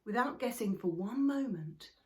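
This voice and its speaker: A woman reading a children's book aloud in an expressive way, sometimes dropping to a whisper. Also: a little high, normal speed. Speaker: storybook reader.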